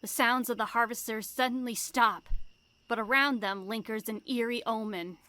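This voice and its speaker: A woman's voice: fairly high pitch, normal speed, sharp tone. A woman narrating a spooky fantasy audio drama as a dramatic, scripted storyteller. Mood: suspenseful. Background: music behind the voice.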